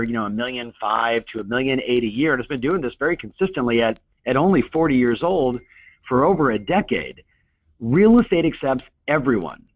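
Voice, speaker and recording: A middle-aged man coaching real estate agents in a webinar, talking in an energetic, motivational way with lots of "you know" fillers. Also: medium pitch, no room sound. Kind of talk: webinar talk. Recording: dull, dry lo-fi webinar audio.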